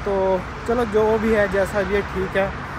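A man's voice: high voice, little pitch movement, unhurried. A man chatting casually as a travel vlogger while walking along a city waterfront, pointing things out, repeating himself loosely. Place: outdoors on a city waterfront street. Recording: outdoor traffic noise.

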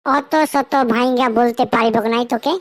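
Woman doing a cheeky cartoon buddy, high pitched, crude slangy teasing. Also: steady tone, moderate pace, natural voice. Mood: sly, teasing. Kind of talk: Bengali comedy cartoon dialogue.

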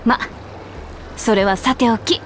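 A woman voicing a brash, tomboyish young princess in a game, speaking in a rough, boyish way. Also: fairly high pitch, fairly even intonation, takes it slowly, a little hiss or hum. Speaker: princess character in a game cutscene.

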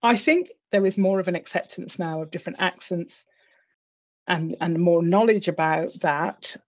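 A middle-aged woman with a British accent speaking reflectively and in a measured way as an interview guest on a video call. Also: fairly low pitch, a little mellow. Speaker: interview guest, senior executive. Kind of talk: reflective personal conversation. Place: indoor room on a video call. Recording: slightly dull video call audio.

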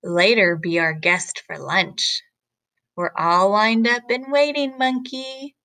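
A woman's voice: mid-range voice, fairly even intonation, takes it slowly, sharp tone. A woman voicing a big, crusty, menacing male crocodile from a storybook, boastful and emphatic in rhyme. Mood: smug. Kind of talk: storybook character reading.

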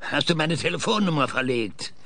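An actor playing an irascible, indignant older professor who once trained space crews, in a German audio drama. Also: male voice, fairly high pitch, lively intonation, normal speed, bright, forceful tone. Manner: indignant, blustering.

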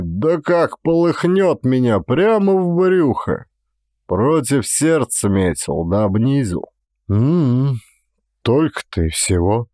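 A man performs a stolid Cossack rogue in an audiobook drama. He talks in slow, serious country speech and plays the innocent with sly calm. The sound is dry, with a middle-range pitch. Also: dry recording. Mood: matter-of-fact. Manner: serious, unhurried rustic folk speech. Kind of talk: dramatised audiobook character acting.

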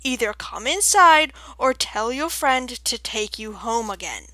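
Woman voicing a slow, sonorous, imperious owl. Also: lively intonation, pushed and bright, no room sound. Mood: stern, blunt. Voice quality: deep, round, sonorous. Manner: slow, formal, weighty delivery. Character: large, stern, imposing old owl, grave and formal. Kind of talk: audiobook character voice.

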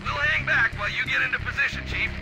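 Casting: gung-ho game soldier, male, very high pitch, clipped urgent military chatter, loud music and battle behind. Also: fast, rough recording. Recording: game audio under loud music, gunfire.

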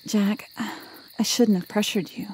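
An actress playing a gentle, encouraging wife and mother in a family audio drama, with a husky, breathy voice. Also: mid-range voice, fairly even intonation, slow, a little hiss or hum, natural voice, low-quality recording. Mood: soft, sympathetic.

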